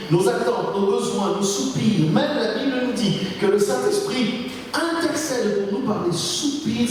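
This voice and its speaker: Male preacher, earnest scripture-led delivery with emphatic repetition; heard from a distance in heavy echo, faint noise. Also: sits high. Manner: earnest, deliberate, repeats phrases for emphasis. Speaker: pastor preaching. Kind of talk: sermon with scripture readings. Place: large reverberant church hall. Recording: echoey hall, distant mic, faint noise.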